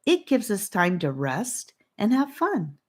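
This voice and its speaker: A woman voicing a gentle, wise young girl who speaks earnestly and reassuringly. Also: medium pitch, wildly swooping pitch, slow pace, pitched above the performer's own voice, full character voice. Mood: comforting.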